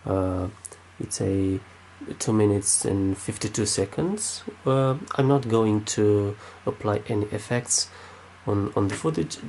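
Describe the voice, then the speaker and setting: A man's voice: fairly low pitch, slow pace, hardly any pauses, dark tone, a little hiss or hum, low-quality recording. A man with a Romanian accent, speaking unscripted with many fillers and restarts while demonstrating something at his desk. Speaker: tech video maker.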